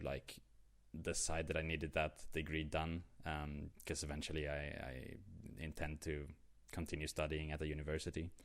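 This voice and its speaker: Deep voice guy w swedish accent, 20s, chatty podcast cohost, says uh a lot. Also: a bit bright, clear, resonant tone. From Sweden. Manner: rambling, many fillers and restarts. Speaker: podcast co-host. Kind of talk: casual chat.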